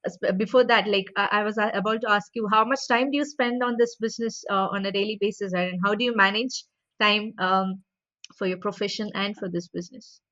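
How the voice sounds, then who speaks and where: A woman's voice: medium pitch, steady tone, fairly quick, fairly bright tone, no echo. A woman hosting a casual video interview, talking hesitantly with many fillers and restarts. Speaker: host. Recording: dull dry lo-fi sound.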